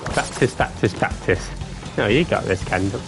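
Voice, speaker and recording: A man's voice: lively intonation, normal speed, music in the background. A man, casually chatting with his live-stream viewers while gaming, playful and jokey. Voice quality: deep voice. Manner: playful, jokey, bursts into silly singing.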